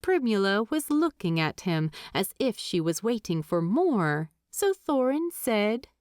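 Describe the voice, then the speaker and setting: A woman's voice: medium pitch, very animated, a little slow, a little mellow, no room sound, studio quality. A woman reading a story aloud as a scripted audiobook narration.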